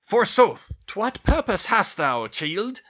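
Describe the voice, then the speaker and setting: Man putting on a loud, paranoid old medieval woman. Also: high voice, sing-song, slow, pitched above the performer's own voice, heavily acted. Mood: haughty, challenging. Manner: loud, indignant, archaic Middle English phrasing.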